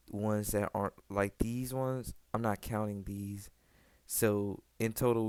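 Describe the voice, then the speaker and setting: A man's voice: on the low side, darkish tone, smooth, dry recording. A man giving casual, conversational video commentary on gaming news, speaking informally and repeating words for emphasis. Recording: music behind the voice. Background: background music.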